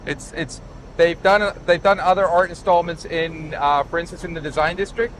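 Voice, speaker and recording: Higher-pitched man pitching over street noise. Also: steady tone, normal speed. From Chile. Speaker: property developer giving a tour. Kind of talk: casual walk-through sales pitch. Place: walking outdoors on a city street and at a building site. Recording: outdoor street noise with traffic.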